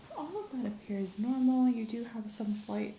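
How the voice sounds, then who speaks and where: A soft-spoken woman, often whispering, doing an ASMR medical exam roleplay in a gentle, clinical manner. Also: fairly high pitch, animated, moderate pace, a little hiss or hum. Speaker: ASMR performer playing a medical examiner.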